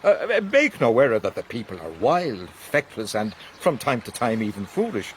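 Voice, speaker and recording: An actor playing a passionate, persuasive Irish gentleman with an Irish accent, declaiming rhetorically in a radio drama. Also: mid-range voice, big pitch swings, moderate pace, a little hiss or hum, close to an everyday voice. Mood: rhapsodic.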